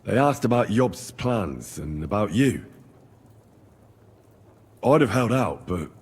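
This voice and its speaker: Young medieval squire for a game drama: earnest, plain-spoken, slightly low and rough-edged, in a reverberant space. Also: male voice, big pitch swings, takes it slowly, full character voice. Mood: grave, hesitant. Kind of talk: dramatic video game dialogue.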